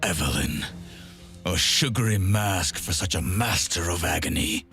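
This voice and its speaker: A man voicing a grim, solemn undead swordsman from a video game, speaking in a measured, dramatic way over music. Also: low voice, gravelly, sharp tone, exaggerated voice. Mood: grim menace. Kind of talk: video game character voice acting.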